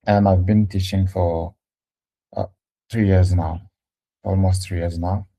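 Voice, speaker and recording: A young man from Congo teaching a French lesson over a video call, speaking slowly and patiently with frequent fillers and restarts. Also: sits low, steady tone, warm tone. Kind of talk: online one-to-one language lesson.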